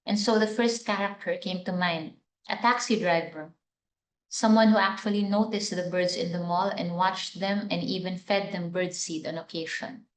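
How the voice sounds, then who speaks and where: A woman reads her own writing in a fairly low voice. The sound is noticeably roomy and echoey, as in a literary reading. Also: steady tone, normal speed. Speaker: writer reading own short story. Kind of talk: literary reading of prepared text.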